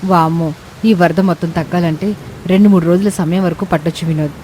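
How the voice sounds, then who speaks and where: A woman's voice: lowish voice, steady tone, a little fast, warm tone, a little hiss or hum, close to an everyday voice. Woman acting panicky, demanding young wife, faint rain behind. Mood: whining, demanding. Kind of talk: Telugu moral story voice acting.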